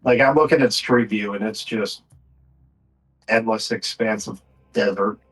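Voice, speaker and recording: A man's voice: lowish voice, unhurried, fairly bright tone, rough recording. A man casually talking as game master of a tabletop horror role-playing game.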